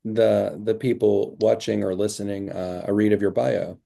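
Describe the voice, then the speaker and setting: Low gravelly young man, hesitant host. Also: flat delivery, moderate pace, warm tone. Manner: hesitant, many fillers. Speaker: interviewer, host. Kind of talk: casual interview questions.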